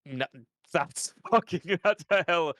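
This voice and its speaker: A man's voice: fairly high pitch, slow, fairly bright tone, no echo, clean studio sound. A young man with a stuffed-up nose, chatting on a podcast in a rambling way, with lots of restarts and casual swearing. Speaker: podcast host.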